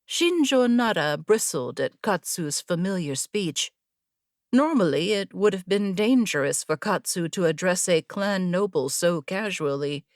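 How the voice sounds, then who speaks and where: A woman narrates an audiobook story. Her voice sits at an average pitch and moves slowly, with measured, deliberate phrasing. The sound is very dry, free of room echo.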